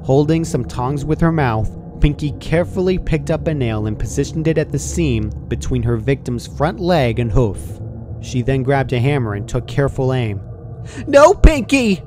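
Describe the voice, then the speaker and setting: A man's voice: mid-range voice, normal speed, background noise. A man reading fan fiction aloud as a scripted, dramatic story narration. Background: music.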